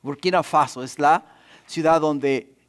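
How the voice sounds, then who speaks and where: A man's voice: on the high side, unhurried, clear, resonant tone. A middle-aged man preaching a sermon as a pastor, emphatically repeating phrases and posing rhetorical questions. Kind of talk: sermon in Spanish to a congregation.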